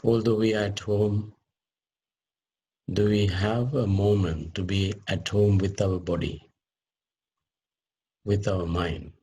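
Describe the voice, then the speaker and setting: A man leading a guided meditation as a teacher, speaking deliberately in short phrases with pauses between them. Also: lowish voice, steady tone, warm tone, breathy edge.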